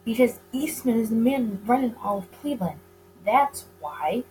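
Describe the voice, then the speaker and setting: Woman acting a nosy, excitable police boss, swooping pitch. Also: moderate pace, faint music behind, natural voice, low-quality recording. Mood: alarmed. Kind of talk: visual novel character reading. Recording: clear background noise.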